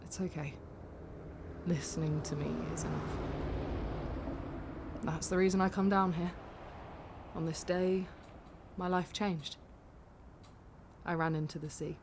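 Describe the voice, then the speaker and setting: A woman's voice: on the low side, fairly even intonation, natural voice. Confiding young woman played by an actress, noisy surroundings. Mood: pained, intense. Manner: confiding, halting, self-deprecating. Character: candid, vulnerable young woman passenger opening up to driver. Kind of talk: audio drama dialogue.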